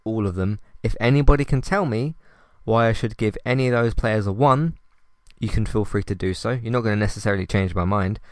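A man hosting a solo podcast, talking in a calm, conversational way with frequent fillers and restarts. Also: fairly low pitch, a little fast, no room sound. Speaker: podcast host.

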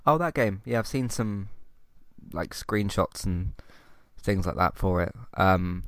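A man's voice: low-pitched, slow pace, a bit bright, dry recording, clean studio sound. A man with a cold, sounding sniffly, hosting a casual podcast chat with many ums and restarts. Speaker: podcast host.

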